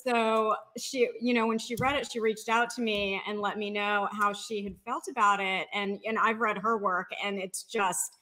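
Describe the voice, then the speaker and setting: Woman guest on a video call interview, really bright voice, talking casually about her work. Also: mid-range voice, level tone, moderate pace, hardly any pauses, poor audio. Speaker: guest author. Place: indoor room with bookshelves, video call.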